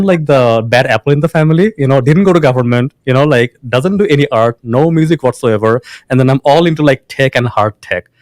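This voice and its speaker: A man's voice: mid-range voice, dry recording. Guy talking casually, lots of restarts and fillers, dry sound. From Bangladesh. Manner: restarts often, many fillers. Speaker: founder and guest. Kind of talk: casual interview talk.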